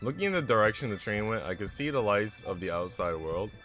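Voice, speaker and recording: A man livestreaming a visual novel, moving between casual, chatty musing and expressive story narration. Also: fairly low pitch, brisk. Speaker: streamer reading a visual novel aloud. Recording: music playing behind the voice. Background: background music.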